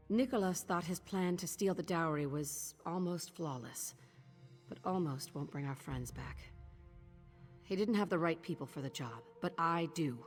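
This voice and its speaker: A determined thief leader in a fantasy game. Her voice sits low, guarded and measured. It carries a long reverb with music beneath. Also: darkish tone. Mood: grave, determined. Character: determined, guarded female thieves guild leader. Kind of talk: video game voice acting.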